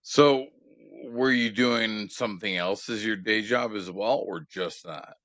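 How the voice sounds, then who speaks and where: Deep-voiced enthusiastic male interviewer. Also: a little slow, a bit bright, no echo. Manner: enthusiastic, blunt and profane. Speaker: interviewer and channel host. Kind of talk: casual interview hosting.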